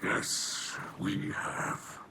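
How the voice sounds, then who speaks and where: An actor voicing a dignified, weary old alien war captain in a video game, with a breathy rasp and formal, measured speech. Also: male voice, pushed and bright, a little hiss or hum, exaggerated voice. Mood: gracious, solemn. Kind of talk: dramatic video game character acting.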